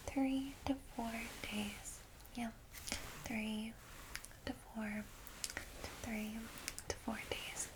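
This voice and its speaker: Female ASMR whisperer, kindly vampire guide, unhurried and even in tone, clear background noise. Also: fairly high pitch, rough recording. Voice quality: soft breathy whisper. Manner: gentle, reassuring, unhurried. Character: kindly vampire secretary welcoming a newly turned vampire. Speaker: ASMR roleplay creator playing a guide to new vampires.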